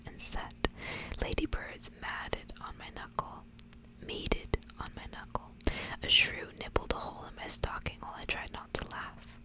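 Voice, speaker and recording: A woman reading a literary story aloud in a breathy, hushed whisper, in the gentle narrating style of an ASMR reading. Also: rough recording.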